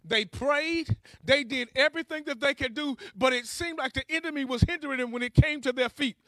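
A man's voice: very high-pitched, brisk, a bit bright, no echo. A man preaching a charismatic sermon in a fervent, emphatic way, exhorting his listeners and repeating key phrases.

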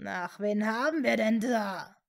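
A woman voicing a cruel, commanding male dark lord who sneers and threatens in a fantasy audiobook. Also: a little high, unhurried, creaky. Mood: sneering.

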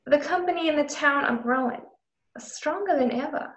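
Woman acting a high-voiced haughty frontier widow. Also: moderate pace, natural voice. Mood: haughty, condescending. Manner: condescending, imperious. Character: haughty, condescending businesswoman widow of frontier town. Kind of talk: radio drama acting. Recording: roomy, echoing home recording.

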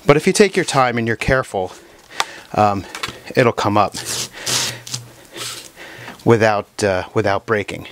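A man's voice: on the low side, slight background noise. Man explaining at a workshop bench. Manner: relaxed, halting, many fillers and restarts. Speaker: woodworking teacher. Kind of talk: casual workshop demonstration. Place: woodworking shop, indoors.

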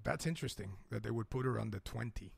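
A man hosting a crude, comedic radio talk show, arguing loudly with a profane, blustering manner that slips into slang. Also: mid-range voice, fast, creaky, breathy edge, dry recording.

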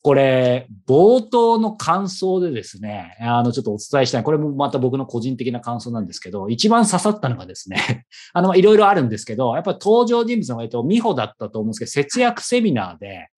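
Fast, animated male interviewer. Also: medium pitch. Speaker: interviewer, show host. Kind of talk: conversational interview.

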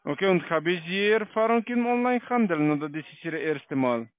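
Foreign-accented man, high voice, cold call. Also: expressive, brisk, a little hiss or hum. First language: not German. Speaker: call-centre sales agent. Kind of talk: scripted phone sales pitch. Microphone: telephone. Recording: lo-fi muffled phone call.

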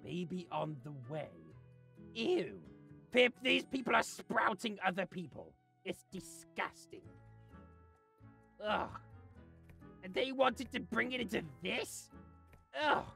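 A performer voicing an arrogant, exasperated creature who thinks itself a genius, raspy and theatrical, in a comic gameplay series. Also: mid-range voice, lively intonation, takes it slowly, pauses often, bright, forceful tone, faint music behind, exaggerated voice. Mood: disgusted, grudging. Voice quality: raspy, gravelly. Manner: theatrical, haughty, melodramatic rants. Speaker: voiced character in a gaming series. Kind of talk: voice-acted gameplay comedy.

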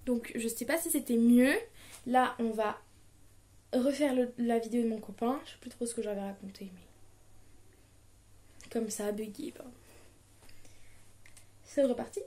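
A young woman in her twenties recording a casual diction tutorial at home, chatting and reading children's book blurbs aloud with lively intonation. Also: on the high side, measured pace, pauses often. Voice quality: muffled, as if nasal, from a pen held in her mouth. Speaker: vlogger. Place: small living room at home, indoors.

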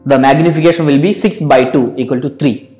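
A young man teaching physics to camera, explaining step by step and counting values out loud in a patient, repetitive way. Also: mid-range voice, fairly even intonation, a little fast, echoey room, soft background music, poor audio. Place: indoor studio in front of a backdrop. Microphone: clip-on lapel mic.

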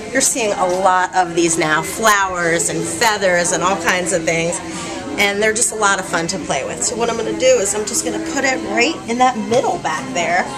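A woman in her thirties, a hair stylist, casually explaining a styling tutorial step by step in a salon. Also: mid-range voice. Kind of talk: how-to tutorial. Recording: salon video with loud music behind. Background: loud background music.

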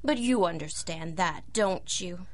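A woman's voice: lowish voice, expressive, unhurried. An actress playing a cynical, mocking female supervillain inmate in a 1930s-style radio drama. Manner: sneering, sardonic, tough.

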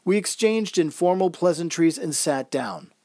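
A man's voice: a little high, lively intonation, moderate pace, a little mellow, no room sound, studio quality. A man reading a scripted first-person story as narrator, in the manner of old-time radio drama.

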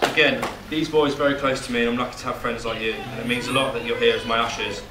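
A man's voice: medium pitch, level tone, fast. A young man giving his groom's speech at a wedding reception, reading his notes, joking and at times emotional. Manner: emotional, voice catching at moments, joking. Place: wedding reception hall, indoors. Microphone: far camera, no mic. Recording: echoey hall, distant camera, loud noise.

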